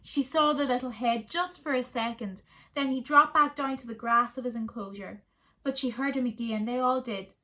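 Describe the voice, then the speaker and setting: A woman reading a children's story aloud in a soft, expressive way. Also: fairly high pitch, normal speed.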